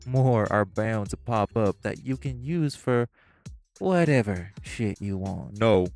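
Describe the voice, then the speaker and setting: A man voicing hostile crime bosses in an audio roleplay drama, profane and confrontational, with soft music behind. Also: fairly low pitch, lively intonation, slow. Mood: sneering. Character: hostile male crime bosses, magic gangsters.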